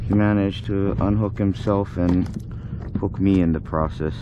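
A man's voice: low-pitched, fairly even intonation, a little slow, lo-fi sound. A man fishing from a kayak at sea, giving a casual running commentary to himself in short, muttered remarks. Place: on a kayak out on the ocean. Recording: noisy outdoor ocean sound.

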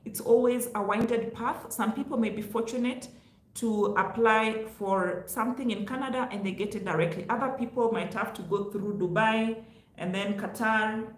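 A woman's voice: a little high, fairly even intonation, moderate pace. A middle-aged woman with a Kenyan accent giving casual, emphatic advice to viewers as a vlog host. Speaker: host, careers advice vlogger. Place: small indoor room, home office. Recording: echoey untreated room.

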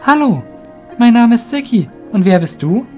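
Man voicing a high-pitched, earnest young rogue. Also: big pitch swings, moderate pace, warm tone, faint music behind. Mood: friendly, curious. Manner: firm, friendly, principled, forthright. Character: earnest, proud young male rogue, a magic novice. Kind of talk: amateur fantasy audio drama acting.

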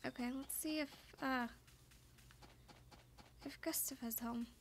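High-voiced woman musing through a game. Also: steady tone, slow, slight background noise. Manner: musing aloud to herself. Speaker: streamer playing a farming game. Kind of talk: casual let's play commentary. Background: game music.

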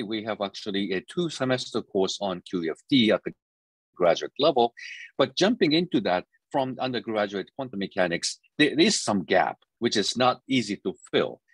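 A man's voice: mid-range voice, breathy edge, no echo. A middle-aged man from Japan giving a university physics lecture over a video call, talking with many fillers and restarts. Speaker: physics professor.

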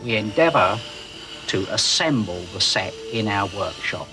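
Low-voiced middle-aged man, measured and formal. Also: lively intonation, over loud music. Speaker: theatre engineer. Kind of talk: documentary explanation. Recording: clearly noisy workplace recording.

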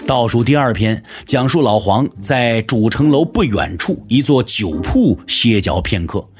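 A man's voice: medium pitch, expressive, measured pace, a little mellow, soft background music. A man narrating an audio drama from a script in a dramatic storytelling style.

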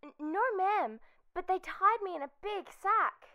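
A woman's voice: very high voice, unhurried, pushed and bright, heavily acted. A woman voicing a naive, fibbing little wooden puppet boy with a stammering, pleading manner in a children's radio play. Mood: nervous, evasive.

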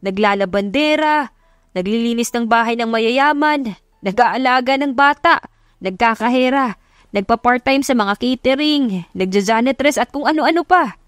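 A woman's voice: a little high, lively intonation, moderate pace, clean tone, no echo, studio quality, natural voice. A woman voicing an impressed private investigator reporting emphatically to a client in an audio love story. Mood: amazed, reporting.

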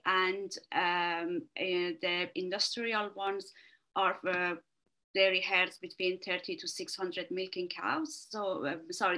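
A woman's voice: medium pitch, a bit bright. Woman, Iranian accent, academic webinar talk, video call mic, hesitant with lots of ums. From Iran. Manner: hesitant, many fillers, halting restarts. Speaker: research fellow presenting a webinar talk. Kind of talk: online academic presentation.

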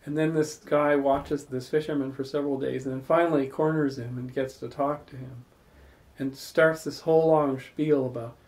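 A man hosts a casual salon in a living room and spins long, thoughtful stories. His voice has a gravelly texture and a dark, mellow tone, and he is picked up from across the room. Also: medium pitch, steady tone, normal speed, poor audio. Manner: thoughtful, rambling, builds long spoken stories. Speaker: salon host. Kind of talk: reflective storytelling in casual group talk.

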